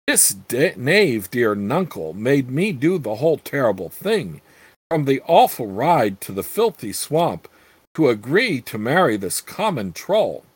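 A man's voice: mid-range voice, sing-song, unhurried, breathy edge, no room sound. A man reading the lines of a spoiled young nobleman in a whining, raised voice.

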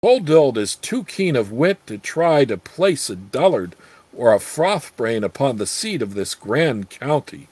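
A man's voice: mid-range voice, big pitch swings, a little slow, darkish tone, husky, no echo. A man reading aloud the lines of a stern, gray-bearded old male count, dry and commanding.

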